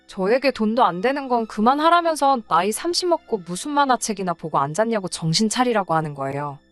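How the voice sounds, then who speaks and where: A woman narrates a scripted personal story in the first person. Her pitch sits in a middle range for a woman and moves in an animated, lively way. Faint music plays behind her voice. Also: no echo.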